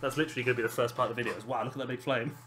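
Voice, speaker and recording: Hoarse man commentating a game. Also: mid-range voice, fairly even intonation, racing, noisy background. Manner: chatty, laughing, swears casually. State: hoarse, lost his voice. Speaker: host of a gaming channel.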